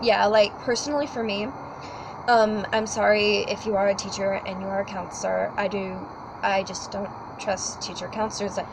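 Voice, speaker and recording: A woman's voice: mid-range voice, flat delivery, normal speed, creaky, background noise. A teenage girl chatting casually about school, rambling with lots of fillers and apologies. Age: teens. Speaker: student co-host. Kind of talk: casual chat.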